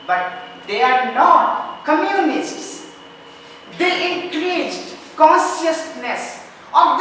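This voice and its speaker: A woman's voice: sits high, bright, forceful tone, full character voice. A woman playing a principled, unyielding church defender in an amateur stage play, with formal, emphatic, argumentative delivery. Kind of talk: declamatory amateur stage acting. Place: large echoing hall, stage performance. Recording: echoey hall from far off, background noise.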